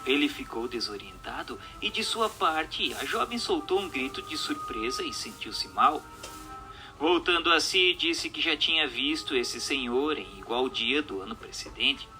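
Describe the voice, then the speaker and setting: A man reading a scripted story as a narrator, in a measured, expressive dramatic style. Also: medium pitch, over music. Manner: measured, expressive read delivery.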